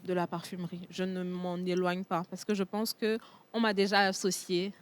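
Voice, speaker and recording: A woman from Togo whose first language is Tchokosi, a perfume entrepreneur speaking as a guest in a TV studio interview, pausing often on fillers. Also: lowish voice, little pitch movement, smooth. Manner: hesitant, many fillers and restarts.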